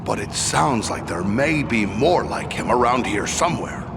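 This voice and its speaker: An actor playing an alert, blunt adventuring warrior in a fantasy game. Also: male voice, medium pitch, animated, moderate pace, creaky, background noise. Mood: wary. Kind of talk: scripted fantasy game dialogue.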